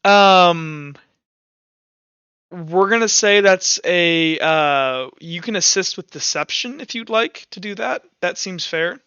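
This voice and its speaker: Young male game master, high clear voice, casual, thinking aloud, dry slightly muffled mic. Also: slow, pushed and bright, no echo. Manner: informal, conversational, thinking aloud. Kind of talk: casual narration of a tabletop roleplaying game.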